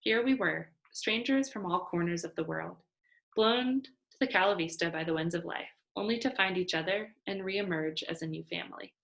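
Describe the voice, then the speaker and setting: A woman narrates a children's chapter book expressively. Her voice sits a little low for a woman. It has a noticeable creak as she reads. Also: moderate pace, fairly bright tone, rough recording. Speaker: storybook reader. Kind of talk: expressive children's novel read-aloud.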